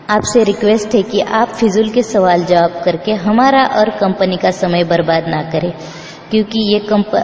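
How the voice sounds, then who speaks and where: A woman's voice: medium pitch, a little mellow. A woman recruiter delivering a scripted explanatory message in a firm, instructing manner. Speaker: recruiter reading a job pitch. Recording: echoey, reverberant, muffled, noisy playback.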